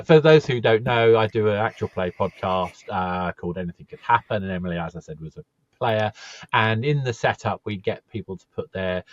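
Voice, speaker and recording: A man's voice: fairly low pitch, no echo, muffled recording. A man hosting a casual podcast interview, talking in a rambling, hesitant way with many fillers. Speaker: podcast host.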